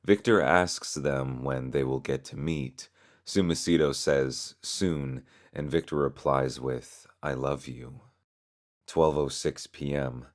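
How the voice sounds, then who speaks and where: A man's voice: a bass voice, takes it slowly. A man reading scripted story narration as a narrator.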